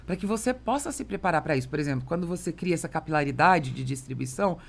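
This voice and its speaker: Fast-talking Brazilian woman hosting a podcast. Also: deep voice, animated, creaky. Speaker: podcast host. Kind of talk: conversational business podcast interview.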